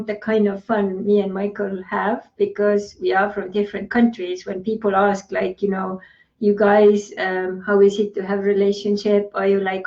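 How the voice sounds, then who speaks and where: A woman's voice: mid-range voice, a little mellow, muffled recording. A woman from Estonia with an Estonian accent, co-hosting a casual chat and laughing often. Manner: chatty, laughs often, reads definitions aloud. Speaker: podcast co-host.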